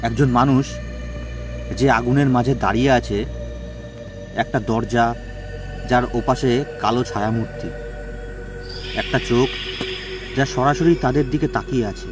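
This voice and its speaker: A man's voice: medium pitch, a little slow, pauses often. A man telling a horror story as a dramatic, scripted narration. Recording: music bed behind the voice.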